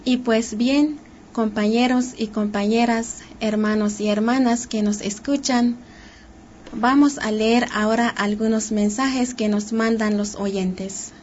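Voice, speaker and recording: A woman hosting a community radio show, slowly introducing listener messages in a measured way. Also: fairly high pitch, fairly even intonation, darkish tone, a little hiss or hum. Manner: slow, measured address to listeners. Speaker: radio host. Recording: dull lo-fi community radio sound.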